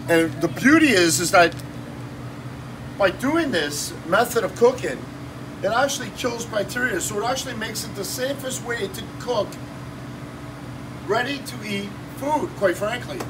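A man in his fifties, a shop owner giving an energetic, salesy cooking demo in a small shop office, filmed on a phone. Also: high voice, a little slow. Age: 50s. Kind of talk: casual promotional demo. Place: small shop office with kitchen counter, indoors. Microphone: phone camera held by someone nearby. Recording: distant phone audio with room noise.

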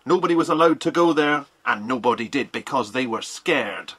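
A man's voice: mid-range voice, lively intonation, moderate pace, fairly bright tone, breathy edge. Stern, ominous British stepfather. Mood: ominous warning. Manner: insistent, ominous. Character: stern, shifty stepfather warning children off. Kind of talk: children's audio drama acting.

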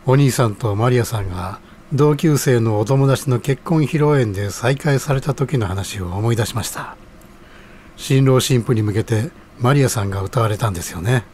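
Calm male adviser, played by an actor: polite, reflective, medium register, courteous wording, audio drama with slight noise. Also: medium pitch, lightly acted. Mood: calm, reminiscent. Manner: courteous, measured honorific phrasing.

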